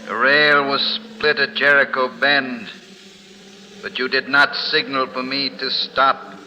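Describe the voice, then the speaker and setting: An actor playing the menacing ghost of a dead train engineer in an old radio mystery, ominous and taunting. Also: male voice, mid-range voice, level tone, slow pace, bright, forceful tone, dull audio. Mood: grim accusing. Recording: vintage radio, long cavernous reverb, clear noise.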